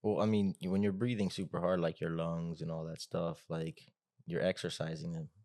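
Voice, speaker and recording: Male podcast host, low register, little pitch movement, loose rambling conversational delivery. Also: level tone, normal speed, dark tone, no room sound. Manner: rambling, hedging with frequent "like" and "you know". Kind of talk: casual chat.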